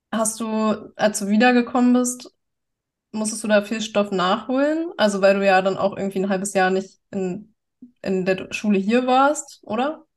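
A young woman hosting a podcast, asking chatty, curious follow-up questions. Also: medium pitch. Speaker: podcast host. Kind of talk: casual podcast interview.

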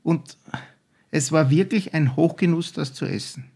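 Older Austrian man, gravelly voice, chef chatting eagerly, rambling. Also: fairly high pitch, unhurried, mellow. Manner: enthusiastic, rambling storyteller with many restarts. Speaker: guest chef. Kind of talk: casual podcast chat.

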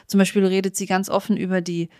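Female podcast co-host, mid-pitched, animated and informal, thinks aloud, very dry recording. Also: fast, dry recording. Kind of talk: casual podcast chat.

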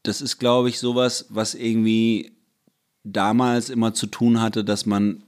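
Guy from germany chatting casually, lowish voice, lots of restarts. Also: little pitch movement, a little slow, darkish tone, clean tone. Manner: rambling, many restarts and fillers. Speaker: conversation partner. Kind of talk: casual chat.

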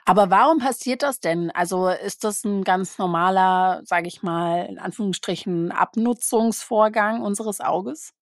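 A woman's voice: mid-range voice, a little slow, few pauses, dry recording, clean studio sound. A woman who grew up bilingual in German and Portuguese, hosting an interview and asking curious follow-up questions. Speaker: podcast host. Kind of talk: interview conversation.

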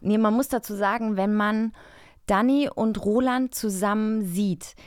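A woman's voice: medium pitch, steady tone, a little slow, no echo. Informal woman, very dry close sound. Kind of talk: casual chat among friends.

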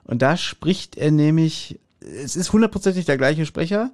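A man with a fairly high voice co-hosts a podcast. He talks casually, as in a chatty review between two hosts. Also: animated, normal speed, darkish tone, no room sound, clean studio sound. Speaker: podcast co-host. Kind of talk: casual audio drama review chat.

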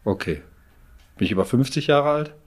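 A man's voice: medium pitch, lively intonation, moderate pace. A man playing a guessing game with a friend, thinking aloud with hesitant fillers and laughing. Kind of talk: casual game chat.

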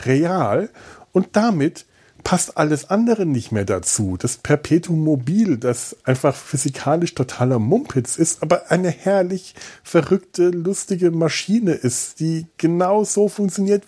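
Husky man rambling casually about films. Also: on the high side, mellow. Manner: opinionated, exasperated asides. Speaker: podcast host. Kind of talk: rambling casual film commentary.

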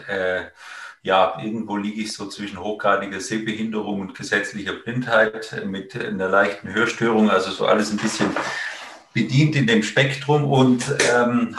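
Creaky-voiced man from Italy, roomy distant sound. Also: on the low side, a bit bright. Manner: hesitant, many filler sounds. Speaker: professor. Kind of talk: seminar talk. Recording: echoey room, distant mic.

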